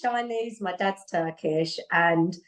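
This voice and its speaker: A woman in her forties with an English accent, chatting as a podcast guest, lively, laughing often and repeating herself. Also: fairly low pitch, takes it slowly. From England. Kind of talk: casual conversation. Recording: echoey room.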